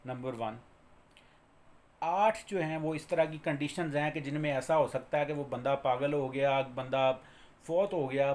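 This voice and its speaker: A man with a Pakistani accent lecturing informally on law, explaining by repeating and rephrasing, with English terms mixed in. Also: fairly high pitch.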